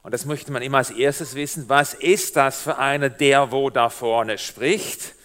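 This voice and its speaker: A middle-aged man with a Swabian German accent shaded by years in Switzerland, giving a lively, humorous sermon as a guest preacher and speaking directly to the congregation. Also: mid-range voice, a little slow. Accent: Swabian German with Swiss German influence.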